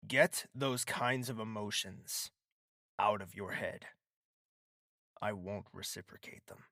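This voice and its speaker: A man acts out a haughty prince for an audio drama. His delivery is clipped and deliberate, with a guarded core under the authority. The sound is very dry and close. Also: fairly low pitch, creaky, pushed and bright, no echo, full character voice. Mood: stern, subdued. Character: proud, domineering prince with a guarded soft side.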